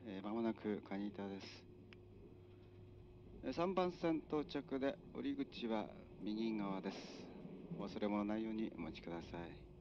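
A man's voice: on the low side, measured pace. A man making formal train announcements as conductor, starting phrases with hesitant fillers. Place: moving express train. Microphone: train PA system recorded from a passenger seat. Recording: dull, noisy onboard PA recording.

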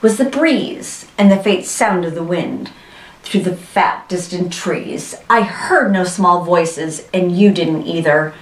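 A woman's voice: on the low side, slow pace. Storybook kangaroo, played by a woman: scornful, haughty, dismissive. Echoing room, set back from the microphone. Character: scornful, bossy, sour big kangaroo. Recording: roomy echo, distant microphone.

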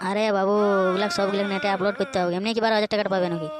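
A performer voicing a brisk, businesslike village shopkeeper in a comedy cartoon, with a rural Purulia Bengali accent. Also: mid-range voice, level tone, over music, natural voice. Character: brisk, matter-of-fact village online-centre shopkeeper.

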